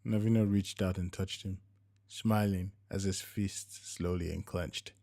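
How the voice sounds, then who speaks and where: Low-voiced male story narrator. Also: fairly even intonation, a little slow, creaky, mellow. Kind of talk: scripted fiction narration.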